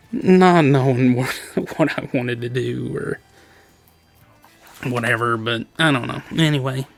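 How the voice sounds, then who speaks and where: Casting note: worn-out, hoarse man talking into his desk mic, gravelly, halting and rambling. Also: mid-range voice, soft background music. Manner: rambling, halting, with many restarts and nervous laughs. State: tired. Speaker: gaming channel host. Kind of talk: casual personal update to viewers. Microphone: desk microphone.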